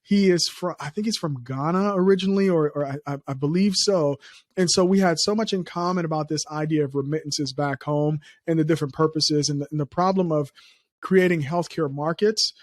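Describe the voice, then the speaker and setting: A man from Liberia hosting a startup podcast, energetic, speaking straight to listeners and reading sponsor spots. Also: fairly quick, no echo, clean studio sound. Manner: energetic, pitches listeners directly. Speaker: podcast host. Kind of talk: podcast interview and ad read.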